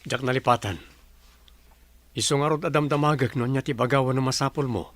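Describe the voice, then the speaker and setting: A man's voice: medium pitch, a little fast, a bit bright, close to an everyday voice. A man playing an evasive, casual-talking fiancé and employer in a radio drama. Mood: defensive. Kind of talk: Philippine radio drama acting.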